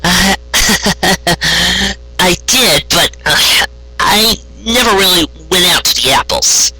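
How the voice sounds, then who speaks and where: A man's voice: high voice, takes it slowly, sharp tone, husky, soft background music, voice pushed up, full character voice, low-quality recording. A man voicing a defensive young female unicorn in a story, hesitant and stammering yet insistent. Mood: flustered, hesitant.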